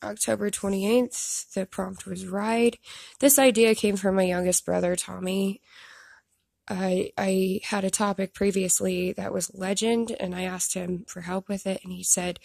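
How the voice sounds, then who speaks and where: A young woman with a stuffed-up nose, casually talking through her drawings into a phone and poking gentle fun at her own work. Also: mid-range voice, little pitch movement, a little slow, vocal fry, no echo. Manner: self-deprecating, rambling with restarts. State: stuffed up, congested. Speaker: artist showing her drawings. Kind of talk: casual narration of a drawing time-lapse. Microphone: phone camera.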